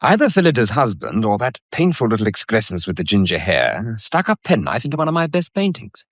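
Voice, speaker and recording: Breezy, swooping British painter. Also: male voice, on the low side, wildly swooping pitch, brisk, a bit bright, dry recording, exaggerated voice. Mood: concerned, probing. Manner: jaunty, slangy, teasing banter. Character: breezy, blunt, gallant male society painter. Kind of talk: period British radio drama acting. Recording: dull, muffled lo-fi audio.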